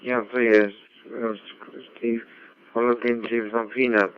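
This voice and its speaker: A man chatting as an amateur radio operator over a digital radio link, talking technically in a halting, repetitive way. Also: medium pitch, level tone, clean tone. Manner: halting, repeats words. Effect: digital ham radio transmission. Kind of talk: casual radio contact chat, technical. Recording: dull, band-limited amateur radio audio.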